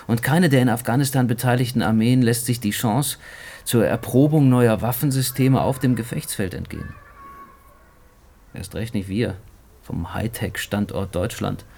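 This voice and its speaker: Creaky, wry journalist. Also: male voice, medium pitch, dark tone. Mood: sardonic, explanatory. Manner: wry, lecturing. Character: sceptical, well-informed male journalist, wry and world-weary. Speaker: supporting actor. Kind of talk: radio drama dialogue.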